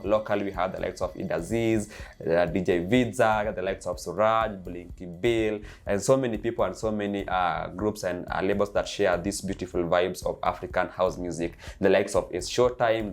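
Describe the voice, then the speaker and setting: A young Kenyan man with a Kenyan accent and Kikuyu as his first language talks eagerly in a casual vlog, stacking repeated lists and filling pauses with "uh". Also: on the low side. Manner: eager, repetitive lists, frequent uh fillers. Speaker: DJ and channel host.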